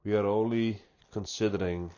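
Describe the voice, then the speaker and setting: Low-voiced guy, stuffy nose, talking real slow and thoughtful, lots of restarts, kinda dull lo-fi sound. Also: steady tone, dark tone. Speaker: pipe-smoking vlogger. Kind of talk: unscripted reflective monologue.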